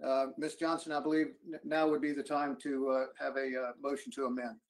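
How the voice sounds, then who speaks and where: A man chairing a formal meeting over a video call, speaking haltingly and hesitantly. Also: medium pitch, level tone. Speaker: council chairman running a meeting. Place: indoors at home or office on a video call.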